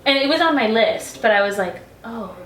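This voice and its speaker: A woman's voice: a little high, animated, brisk, a bit bright, roomy sound. A young woman, a singer-songwriter, chatting casually in an interview, filling her talk with "like.". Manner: chatty, many "like" fillers.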